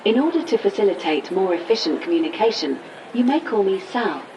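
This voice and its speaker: A woman's voice: mid-range voice, very animated, fairly quick, a little mellow, distant mic, slight background noise, natural voice, rough recording. A woman playing a polite but unhelpful automated AI assistant in a comedic audio drama, speaking formally. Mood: blandly polite.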